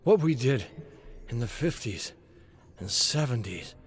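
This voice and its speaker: A man voicing a dying, drugged secret agent who confesses in despair, agitated and halting, in a dramatic audio story. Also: mid-range voice, lively intonation, soft background music, lightly acted. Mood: fearful ranting. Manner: agitated, halting, breaking into anguished strain.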